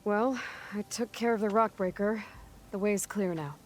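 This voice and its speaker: An actress playing a level-headed young heroine in a video game, dry and matter-of-fact. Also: female voice, mid-range voice, moderate pace, darkish tone, slight background noise, close to an everyday voice. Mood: serious, measured. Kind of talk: video game cutscene acting.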